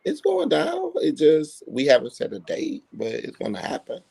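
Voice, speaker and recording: A man speaking in a nonchalant, deadpan way as a guest on a casual panel. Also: on the high side, moderate pace, vocal fry, husky.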